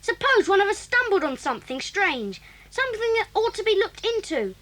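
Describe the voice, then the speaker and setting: A woman's voice: extremely high pitch, very animated, normal speed, a bit bright, full character voice. A woman voicing an eager young child in an 1980s audio drama, pleading and persuasive.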